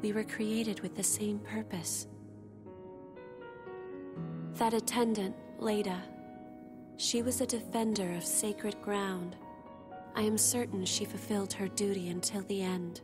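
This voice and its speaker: A woman's voice: medium pitch, steady tone, measured pace, long pauses, close to an everyday voice. An actress playing a dutiful young female attendant in a game, speaking formally and earnestly. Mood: solemn. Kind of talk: English video game cutscene acting. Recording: loud music under the voice.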